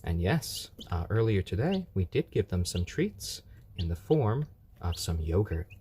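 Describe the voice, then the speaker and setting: A man's voice: sits low, very animated, a little slow, warm tone, a little hiss or hum. A man hosting a casual live stream, chatting easygoingly with viewers and cooing over his baby chicks at home.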